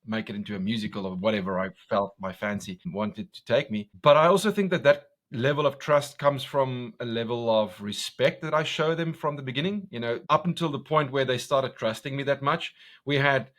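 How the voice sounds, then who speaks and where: A South African man with an Afrikaans accent, a guest in an interview, talking loudly and intensely with lots of restarts. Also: mid-range voice, moderate pace. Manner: loud, intense, passionate, many restarts. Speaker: guest, commercial director. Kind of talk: casual interview conversation.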